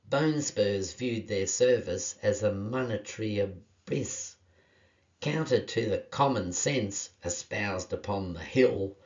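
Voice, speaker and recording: A man with a croaky voice from a cold, hosting his poetry channel and reciting his own rhyming verse in a measured spoken-word delivery. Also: on the low side, a little mellow, muffled recording. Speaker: poet and channel host.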